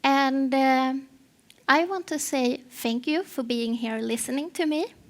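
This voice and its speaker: A Swedish-accented woman presents at a conference in English, her second language. Her voice sits high and her delivery is slow, pausing often to search for words. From Sweden. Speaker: conference speaker, HR partner.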